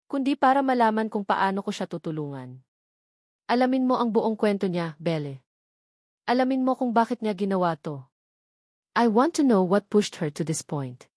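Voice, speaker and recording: Woman as calm, protective male politician. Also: mid-range voice, no echo, clean studio sound.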